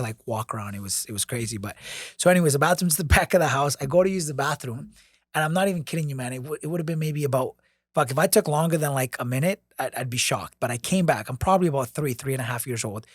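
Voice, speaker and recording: A man's voice: medium pitch, quick pace, no echo, clean studio sound. A man in his late twenties, born in New York, casually telling a long story on a podcast, full of restarts and fillers, swearing now and then. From born in New York, raised abroad by grandmother. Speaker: podcast co-host.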